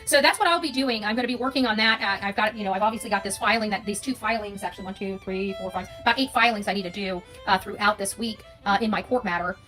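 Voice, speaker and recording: American female content creator, off-the-cuff opinion monologue, brisk, forceful, emphatic with repeated phrases. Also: medium pitch, soft background music, rough recording. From United States.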